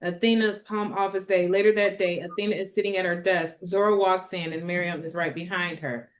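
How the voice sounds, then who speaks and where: A woman's voice: lowish voice, steady tone, a little fast. A woman reading stage directions as the narrator of a script table read.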